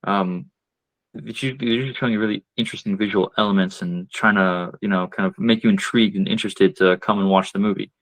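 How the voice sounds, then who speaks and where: A man's voice: lowish voice, fairly even intonation, fast talker, a bit bright, no room sound, poor audio. Dry-miked man explaining marketing tips. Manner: explains step by step with many fillers and asides. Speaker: podcast guest, marketing strategist. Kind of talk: casual advice-giving conversation.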